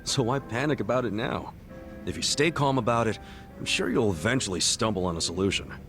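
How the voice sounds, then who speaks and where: An actor playing an easygoing, level-headed buddy in a video game cutscene, speaking casually and earnestly. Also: male voice, on the low side, expressive, brisk, over music. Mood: reassuring. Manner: casual, incredulous, reassuring.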